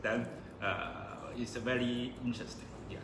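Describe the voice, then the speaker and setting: Man, audience member, very slow, halting, echoey noisy room. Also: medium pitch, fairly even intonation. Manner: halting, searching for words.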